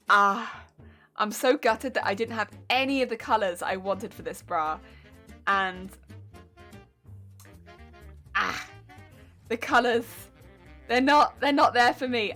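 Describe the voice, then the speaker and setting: Young English woman streamer, casual and self-critical, fillers and laughs, higher pitch. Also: a bit bright. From England. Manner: chatty, self-critical, answers chat questions, frequent fillers and laughs. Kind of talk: casual live-stream chat while sewing.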